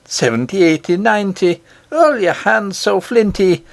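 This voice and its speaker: A man's voice: sits high, expressive, measured pace, vocal fry, no room sound, pitched above the performer's own voice, exaggerated voice. A man voicing a brave miner boy from a children's storybook, chanting defiantly in a sing-song rhythm.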